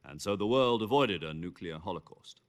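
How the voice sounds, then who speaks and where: A deep-voiced, grave intelligence commander. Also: male voice, lively intonation. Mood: serious, explanatory. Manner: deliberate, formal, expository. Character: seasoned male intelligence major briefing his agent, authoritative and grave. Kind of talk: dramatic video game briefing exposition.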